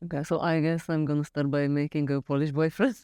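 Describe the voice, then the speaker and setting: A woman's voice: low voice, little pitch movement, brisk, dark tone, smooth, no room sound, studio quality. A woman hosting an interview, asking questions politely with hesitant phrasing and fillers. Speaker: podcast host.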